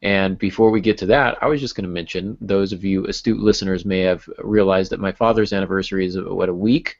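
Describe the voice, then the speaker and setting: A man with a fairly low voice co-hosts a podcast. He chats casually and tells things in a personal, conversational way. The recording is a little dull and lo-fi. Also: steady tone, brisk, gravelly, a little mellow. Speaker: podcast co-host. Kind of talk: casual chat.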